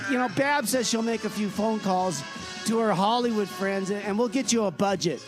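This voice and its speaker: A man's voice: sits high, moderate pace, over music, lightly acted. An actor playing a boisterous, over-eager man who bossily cajoles others into his big plans in radio comedy. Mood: pushy, cajoling. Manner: bossy, cajoling, cocksure.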